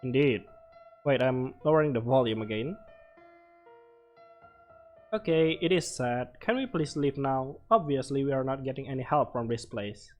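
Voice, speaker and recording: A man doing casual game commentary, reading on-screen text aloud and reacting with short, halting interjections. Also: mid-range voice, lively intonation. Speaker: let's player. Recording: music playing behind the voice. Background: game music.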